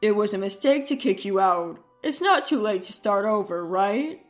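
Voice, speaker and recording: A woman's voice: medium pitch, lively intonation, normal speed, soft background music, close to an everyday voice. A woman voicing a needy, wheedling ex-husband in a dramatized story, pleading and plaintive.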